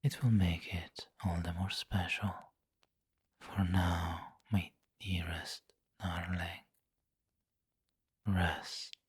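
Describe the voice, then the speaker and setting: A man playing a refined, doting and possessive vampire lord in an intimate ASMR roleplay, with a breathy whisper and formal, tender phrasing. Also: low-pitched, level tone, heavily acted. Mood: fond, teasing. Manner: slow, formal, tender, indulgent.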